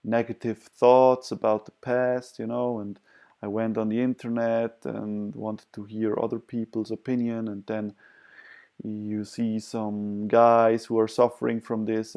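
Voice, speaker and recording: Male, non-native English speaker, for an advice video. Mellow, even voice with little melody, halting and hesitant, searching for words. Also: on the low side, vocal fry, clear, resonant tone. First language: not English. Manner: hesitant, halting delivery with long pauses and repeated words. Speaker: video presenter sharing personal advice. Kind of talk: unscripted advice talk to camera.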